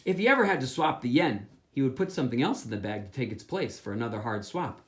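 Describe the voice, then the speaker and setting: A pastor reading a children's picture book aloud, with playful asides. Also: male voice, medium pitch, fast talker. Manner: animated storytelling with asides.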